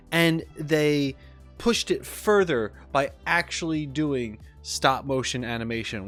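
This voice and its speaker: A man's voice: medium pitch, fairly bright tone. A man hosting casual reaction commentary, chatty and enthusiastic about what he is watching. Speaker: YouTube host and animator.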